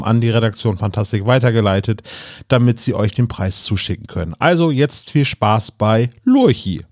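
A man's voice: medium pitch, a little mellow, no room sound. Male podcast announcer, dry studio-like sound.

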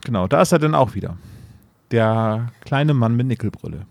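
Dude co-hosting a podcast, normal pitch, super dry close sound, casual chatty comments, jokey interjections. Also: male voice, expressive, normal speed, vocal fry, no echo. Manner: joking, interjecting. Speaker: podcast co-host.

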